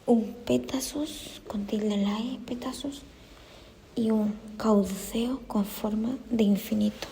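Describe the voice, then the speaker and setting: A woman reads out study notes in a hushed, often whispered voice, repeating each item and spelling tricky words. Her delivery is slow and unhurried, with a mellow, dark tone. A faint hiss of background noise sits under the recording. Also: a little high, steady tone, rough recording. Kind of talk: dictating study notes aloud.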